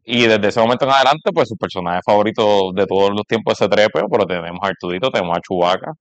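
Fast-talking Puerto Rican man, casual. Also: fairly low pitch, dry recording, lo-fi sound. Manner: chatty, anecdotal storytelling. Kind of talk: casual conversation about parenting.

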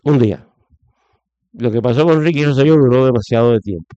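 A man's voice: medium pitch, fairly quick, dark tone, clean tone, no room sound, muffled recording. A middle-aged man with a Puerto Rican accent giving opinionated political commentary in conversation, stressing points by repeating phrases. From Puerto Rico.

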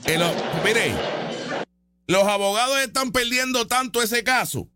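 A Puerto Rican man giving casual, mocking live commentary over a trial, excitable and repetitive. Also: very high-pitched, measured pace, over loud music. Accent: Puerto Rican Spanish. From Puerto Rico. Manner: excitable, mocking, repeats himself. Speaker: online commentator reacting to a trial stream.

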